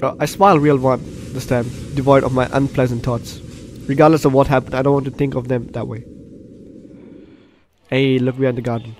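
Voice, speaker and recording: A man's voice: medium pitch, flat delivery, fairly quick, a little mellow, a little hiss or hum. A man hosting a game walkthrough, reading the on-screen story aloud and reacting in an exclamatory way. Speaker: gaming host. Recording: game music behind commentary. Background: game music.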